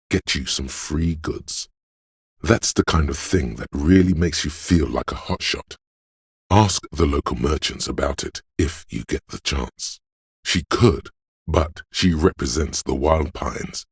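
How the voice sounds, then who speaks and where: Very deep, gravelly, slick hustler. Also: male voice, a little slow, no room sound, studio quality, heavily acted. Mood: smug, scheming. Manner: smug, goading, condescending salesman patter. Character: slick, greedy, cocky male hustler voice urging sales. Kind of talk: video game character voice acting.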